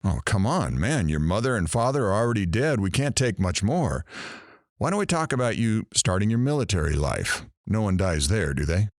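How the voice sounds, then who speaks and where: An actor playing a brash, sarcastic morning radio host in an audio drama, with showy patter. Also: male voice, fairly low pitch, very animated, moderate pace, dry recording, studio quality. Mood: bantering.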